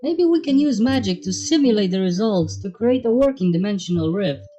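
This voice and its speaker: A woman's voice: medium pitch, lively intonation, brisk, mellow, lo-fi sound, close to an everyday voice. A woman voicing a blunt, world-weary pony with a dry manner in a fan story reading. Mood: matter-of-fact.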